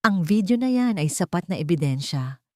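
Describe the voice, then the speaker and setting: A woman's voice: fairly low pitch, animated, moderate pace, dark tone, no room sound, clean studio sound, lightly acted. A woman voicing a stern, powerful older patriarch in an audio drama, speaking in a firm, unemotional, commanding way. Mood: firm, grave.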